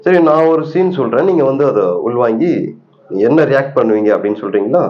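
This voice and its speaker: A man's voice: medium pitch, lively intonation, fast talker. A man making a prank call as a mock-serious interviewer, asking critical questions on a muffled phone recording. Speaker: prank caller posing as acting auditioner.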